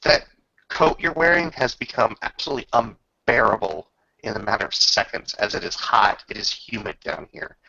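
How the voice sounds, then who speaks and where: A man working as game master, narrating a tabletop roleplay and talking rules casually in a halting way, pausing mid-sentence. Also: lowish voice, very animated, gravelly, sharp tone, dry recording, muffled recording.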